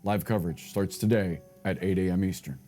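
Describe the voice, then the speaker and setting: Male US news anchor, lowish and very warm tone, scripted delivery, faint music bed. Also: fairly quick. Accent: American. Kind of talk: scripted broadcast news presenting and interviewing.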